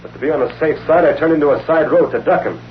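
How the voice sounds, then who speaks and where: An actor playing a cool, calculating criminal chemist in an old-time radio crime drama. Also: male voice, on the high side, rapid-fire, faint music behind, lightly acted. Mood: cool, scheming. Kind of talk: 1930s-40s radio crime drama acting. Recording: muffled, hissy old radio recording.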